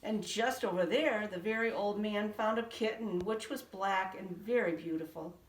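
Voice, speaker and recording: A woman reading a children's picture book aloud in a sing-song, expressive way. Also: mid-range voice, normal speed. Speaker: storybook reader. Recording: roomy echo, from a distance.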